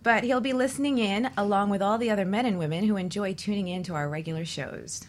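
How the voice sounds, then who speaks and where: A woman's voice: mid-range voice, fast, a little hiss or hum. A woman hosting a radio talk show on relationships, delivering semi-scripted introductions and chat as a host and coach.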